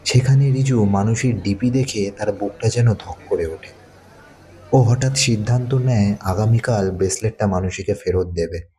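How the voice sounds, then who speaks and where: A man's voice: lowish voice, normal speed, dark tone. A man narrating a scripted thriller audio story. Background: faint music.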